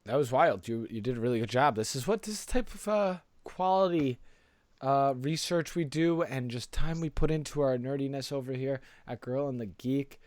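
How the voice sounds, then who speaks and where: A man talks as a podcast co-host in a dry, close-sounding recording. His pitch is medium, and his delivery is informal and conversational, peppered with rhetorical check-ins. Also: normal speed, darkish tone, no echo. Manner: informal, rhetorical tag questions.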